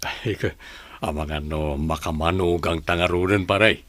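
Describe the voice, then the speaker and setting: A very deep-voiced village gossip. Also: male voice, fairly even intonation, measured pace, fairly bright tone, no echo. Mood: curious, gossipy. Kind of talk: Ilocano radio drama acting.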